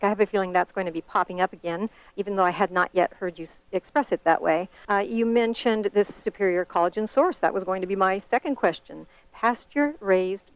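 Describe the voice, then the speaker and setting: A woman's voice: medium pitch, no room sound. Dull phone-line sound, chatty woman hosting. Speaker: host of a company conference call. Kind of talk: conversational scripted-question interview. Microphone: telephone conference call. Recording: band-limited, dull conference phone line.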